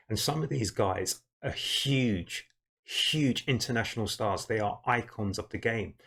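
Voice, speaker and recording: Man, from the UK, husky, lowish voice, casual reaction chat, calls viewers guys. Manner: chatty, addresses viewers as guys and people. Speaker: reaction video host.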